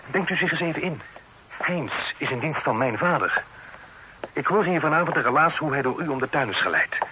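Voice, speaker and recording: An actor playing an upright, harried young gentleman, polite but frank and formal, in an old radio drama. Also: mid-range voice, lightly acted. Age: young adult. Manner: formal, frank, slightly impatient. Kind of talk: vintage Dutch radio drama acting. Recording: noisy old radio play recording.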